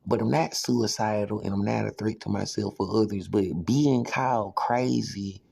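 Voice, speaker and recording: A man in his thirties talks on and on in a loose, rambling monologue, leaning on "you know" at every turn. His voice sits low and rough, with a husky, fried edge. The sound is dry, with no room echo. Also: fairly even intonation, moderate pace, a little mellow. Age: 30s.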